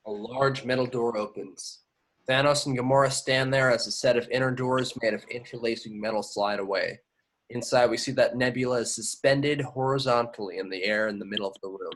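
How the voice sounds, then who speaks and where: A man's voice: mid-range voice, fairly even intonation, brisk, a bit bright, low-quality recording. A man reading scripted action descriptions as narrator of an amateur table read. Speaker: reader of stage directions.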